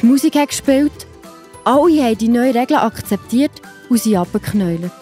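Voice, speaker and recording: A woman's voice: a little high, lively intonation, fairly quick, mellow, soft background music. A woman with a Swiss accent narrating a scripted Bible story for children.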